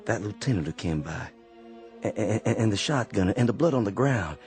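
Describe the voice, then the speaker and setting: An actor playing a weary, quiet Confederate sergeant in a radio drama, speaking slowly and earnestly and often repeating words as he searches for them. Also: lowish voice, animated, close to an everyday voice. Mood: musing. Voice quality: gravelly. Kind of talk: old-time radio drama acting. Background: faint underscore music.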